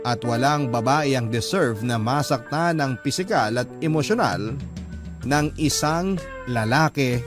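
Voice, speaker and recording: Casting: sincere Filipino storyteller played by a male actor, mid-pitched, earnest and reflective, sentimental radio love story over clear music. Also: lively intonation, a little slow, close to an everyday voice. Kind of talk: sentimental scripted radio narration. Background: music under the narration.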